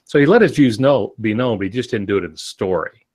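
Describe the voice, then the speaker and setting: A man in his sixties explaining things conversationally as a podcast co-host, in a measured, halting way with pauses mid-phrase. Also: fairly low pitch, animated, a little mellow, husky. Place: home office, indoors. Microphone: video call with earphones.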